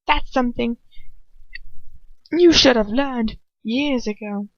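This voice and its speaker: A venomous witch villainess, as a female reader puts her on, high and taunting, stretching her threats and rising into shrill shouts. The recording is close and dry but dull, like a homemade storybook reading. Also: slow, no echo. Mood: menacing.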